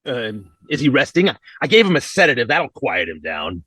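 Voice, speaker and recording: A man's voice: mid-range voice, big pitch swings, normal speed, pushed and bright, breathy edge, dry recording, exaggerated voice, rough recording. A man playing a bumbling, cheerfully callous doctor with a hesitant stammer in an old-time radio comedy. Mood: breezy, reassuring.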